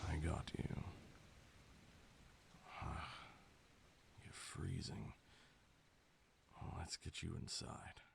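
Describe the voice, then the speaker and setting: A man's voice: deep voice, steady tone, extremely slow, gravelly, pauses often, echoey room, very noisy, heavily acted. A man playing a haughty but caring vampire lord in an ASMR roleplay, speaking formally and sternly. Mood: soft, worried.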